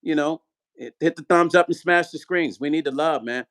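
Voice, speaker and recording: A man's voice: on the high side, moderate pace, a bit bright. Upbeat man hosting a livestream. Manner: upbeat, urging viewers, repeating phrases. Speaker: livestream host. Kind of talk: casual livestream chat reading comments.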